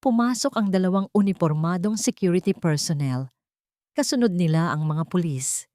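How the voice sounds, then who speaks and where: A woman's voice: on the low side, lively intonation, normal speed, warm tone, no room sound. Woman narrating a dramatic story, close and dry. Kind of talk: scripted dramatic narration of a Tagalog love story.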